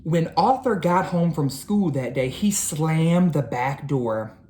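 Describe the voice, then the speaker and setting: A woman reads a picture book aloud in a slightly low voice. Her delivery is slow and deliberate, with lots of swoops in pitch, the way a teacher tells a story to children. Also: a little hiss or hum. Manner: expressive, deliberate storytelling with drawn-out phrases.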